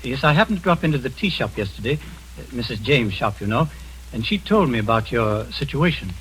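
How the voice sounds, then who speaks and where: An actor playing a calm, clinical doctor. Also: male voice, mid-range voice, slight background noise, close to an everyday voice, poor audio. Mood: measured. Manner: calm, measured, clinical authority. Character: composed middle-aged man posing as a doctor. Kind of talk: 1940s radio acting.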